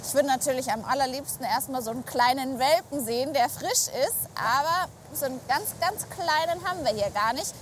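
A woman's voice: sits high, fairly quick, few pauses. A woman reporting on location outdoors on a beach, casually interviewing someone in a curious, questioning way. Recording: faint outdoor ambient noise. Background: faint outdoor ambience.

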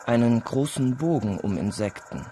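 Mid-pitched male narrator, faint background noise. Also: expressive, normal speed, warm tone. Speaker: documentary narrator. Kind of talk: scripted narration.

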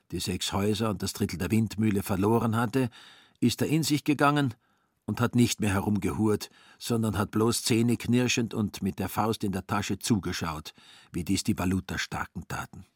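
A man with a husky voice narrating a literary radio play in a wry, dry manner. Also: lowish voice, dry recording.

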